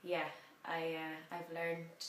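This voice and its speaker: Low-voiced chatty woman in a roomy echo. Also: monotone, slow, a little hiss or hum. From half French, French mother. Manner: rambling, self-correcting, chatty and playful. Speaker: vlogger learning a language. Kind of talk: casual chatty vlog.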